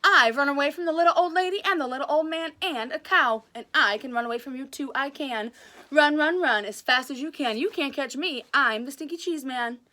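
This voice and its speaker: Woman playing a cocky, bright-voiced runaway cheese man. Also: high-pitched, fairly quick. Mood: taunting, boastful. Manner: chanting, taunting boasts.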